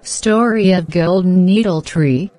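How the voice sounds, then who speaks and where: A woman playing a gentle young woman in a children's cartoon, with measured, plain-spoken scripted lines. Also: lowish voice, dry recording, lightly acted. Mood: grateful, interested. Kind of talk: simple scripted dialogue for children.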